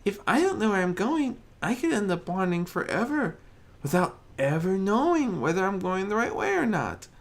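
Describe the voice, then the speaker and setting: A narrator voicing a timid, gentle female pony who mutters softly and anxiously in a storybook reading. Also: medium pitch, very animated, moderate pace, pitched above the performer's own voice. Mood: fretful worry. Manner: soft muttering, fretful.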